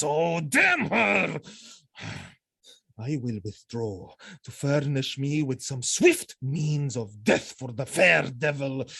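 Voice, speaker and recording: An actor playing a jealous, vengeful military commander in a Shakespearean audio drama, theatrical and brooding. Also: male voice, medium pitch, vocal fry, breathy edge, exaggerated voice. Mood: grim, cold fury. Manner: theatrical, brooding, erupting into shouts.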